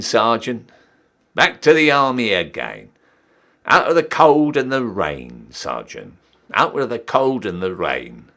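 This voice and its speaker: Man acting a cheeky old-style British soldier, wildly animated. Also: mid-range voice, heavily acted. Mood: jaunty. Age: young adult. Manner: theatrical, emphatic, swooping delivery. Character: cheeky down-at-heel ex-soldier re-enlisting, Victorian British army ranker. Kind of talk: dramatic poetry recital.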